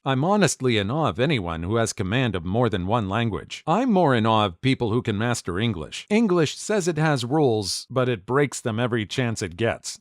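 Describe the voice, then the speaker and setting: A man narrating a series of short stories read from online posts, in an even reading voice. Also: medium pitch, dry recording, clean studio sound. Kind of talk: scripted story narration.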